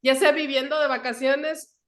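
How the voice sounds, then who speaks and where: A woman's voice: high-pitched, fairly even intonation, normal speed, fairly bright tone. A woman hosting a casual conversational interview, asking questions in a hesitant way as she searches for her phrasing. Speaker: interviewer, host.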